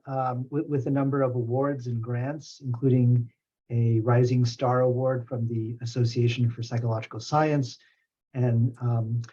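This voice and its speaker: A man hosting an academic seminar series, introducing the speaker in a halting way with many pauses and repeated words. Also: mid-range voice, a little mellow. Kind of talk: formal introduction. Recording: slightly dull, muffled audio.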